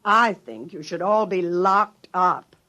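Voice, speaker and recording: An actress playing an exasperated, sharp-tongued wife who scolds dryly, in 1950s radio drama style. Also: female voice, lowish voice, animated, slow, lo-fi sound, exaggerated voice. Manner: scolding, dry.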